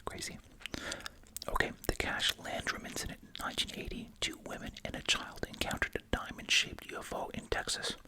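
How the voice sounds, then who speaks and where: An ASMR host speaking in a breathy, unvoiced whisper, reading aloud and musing casually. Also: a little fast, low-quality recording.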